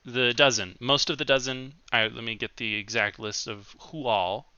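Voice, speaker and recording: A man's voice: mid-range voice, fairly even intonation, moderate pace, bright, forceful tone, clear, resonant tone, no echo, highs cut off. A man acting as game master, recapping the last session in a loose way with many ums and self-corrections.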